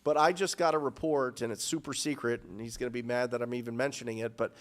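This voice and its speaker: Male host, 30s, energetic live Q&A, studio boom mic, upbeat delivery, frequent restarts. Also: fast talker. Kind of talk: live show Q&A. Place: studio with purple backdrop.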